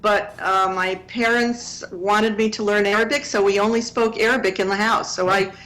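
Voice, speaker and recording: A woman in her seventies from Connecticut, a guest explaining her activist group in slow, earnest interview answers. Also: medium pitch, fairly even intonation, a bit bright, rough recording. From Connecticut, USA.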